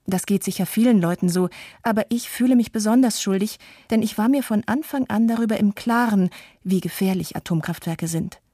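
A woman voicing a guilt-ridden adult who reflects on her life in a measured way, as scripted radio documentary narration. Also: medium pitch, warm tone, no room sound, clean studio sound, lightly acted. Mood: reflective, regretful. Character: guilt-ridden adult woman confessing lifelong fear and inaction.

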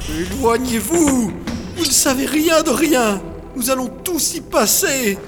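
Casting: man as haunted, half-mad ex-hunter, high voice, slow brooding delivery, theatrical and fearful, sci-fi audio drama. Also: expressive, exaggerated voice. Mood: agitated, despairing. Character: Kaiden, unhinged male former dragon hunter, haunted, fearful hermit. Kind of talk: French science-fiction audio drama acting. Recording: music behind the voice.

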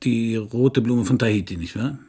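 An actor playing a middle-aged hard-boiled private detective in a radio crime drama, dry and laconic. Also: male voice, medium pitch, moderate pace, warm tone, close to an everyday voice. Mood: brisk, bossy. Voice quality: husky, gravelly.

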